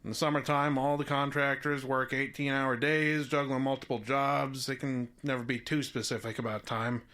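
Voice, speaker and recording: A bright, forceful male voice at medium pitch, an actor playing a small-town contractor in an audio drama. He talks in a folksy, easygoing, colloquial way. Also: little pitch movement, normal speed, lightly acted. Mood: folksy, apologetic.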